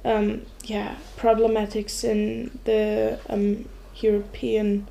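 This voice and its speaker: Creaky, even-toned German teenage girl. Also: medium pitch, slow pace, slight background noise. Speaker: exchange student guest. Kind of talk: casual interview answers.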